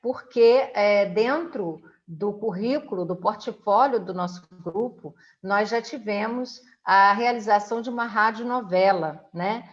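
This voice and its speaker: A woman's voice: medium pitch, a little slow, hardly any pauses. Woman interviewer, dull lo-fi sound. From Brazil. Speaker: interviewer and host. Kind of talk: conversational interview hosting. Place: indoors at home.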